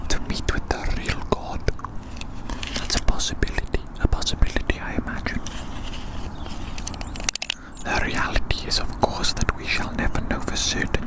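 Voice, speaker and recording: An ASMR performer speaking in a breathy whisper, rambling gently with repeated phrases, formal wording and soft tag questions. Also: poor audio.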